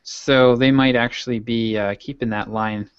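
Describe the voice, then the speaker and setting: A man's voice: medium pitch, steady tone, normal speed. A man co-hosting a podcast in casual conversation over an online call. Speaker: podcast co-host. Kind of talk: casual panel chat. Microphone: microphone on a video call. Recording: slightly muffled online call audio.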